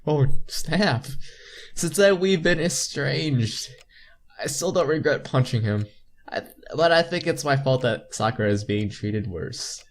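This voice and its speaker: A man's voice: medium pitch, animated, a little slow, slight background noise. A young man hosting a game playthrough, reading story text aloud and commenting on it informally. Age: young adult. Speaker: playthrough host.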